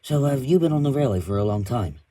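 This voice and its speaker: A man voicing a kind, polite male steam engine who asks gentle, curious questions. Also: medium pitch, lively intonation, quick pace, voice pushed up, lightly acted.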